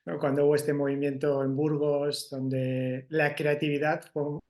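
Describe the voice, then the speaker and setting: A man's voice: fairly high pitch, level tone, a little slow, darkish tone. A man with a Spanish accent from Spain, an event organizer answering interview questions in a reflective way, often tagging his sentences with a questioning "no". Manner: reflective, frequent "¿no?" tags and restarts. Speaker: festival organizer.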